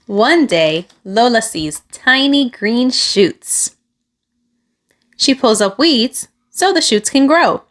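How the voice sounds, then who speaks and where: A woman reading a children's picture book aloud, with expressive, deliberate phrasing aimed at young listeners. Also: fairly high pitch. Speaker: children's storybook reader.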